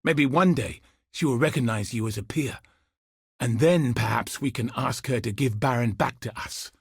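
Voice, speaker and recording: A man voicing a grim, dutiful soldier commander in a video game, speaking with husky, solemn, earnest resolve. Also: mid-range voice, normal speed, dry recording, studio quality. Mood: guarded hope. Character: grim, dutiful male soldier commander hunting cosmic threats. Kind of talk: scripted video game character dialogue.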